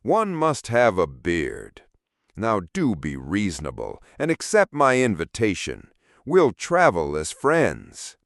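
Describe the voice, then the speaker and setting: A man plays a living shadow who has become a pompous gentleman in a storybook tale. He boasts and condescends with hugely animated pitch, lingering over his words in a very dry, close recording. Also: takes it slowly, no echo, full character voice. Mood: patronizing, lordly. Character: smug, self-important living shadow turned wealthy gentleman.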